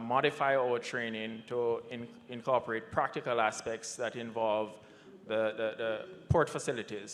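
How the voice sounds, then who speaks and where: A man answering a question on a conference panel, hesitant with frequent fillers and restarts. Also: fairly bright tone. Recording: reverberant conference hall with low rumble.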